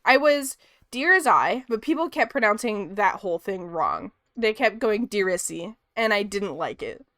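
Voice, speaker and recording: A woman's voice: mid-range voice, pushed and bright, clean tone, dry recording. Female streamer, casual rambling chat, soothing voice, dry close-mic sound. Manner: rambling and chatty, laughs often. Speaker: art streamer.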